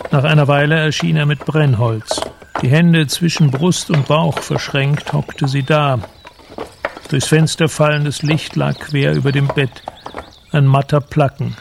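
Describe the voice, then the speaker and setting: A man narrating a literary radio play in a measured, solemn manner. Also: a little high, gravelly, background noise.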